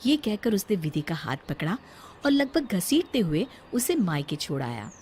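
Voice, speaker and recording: A woman's voice: medium pitch, very animated, normal speed, darkish tone, husky. A woman narrating a scripted story as a storyteller.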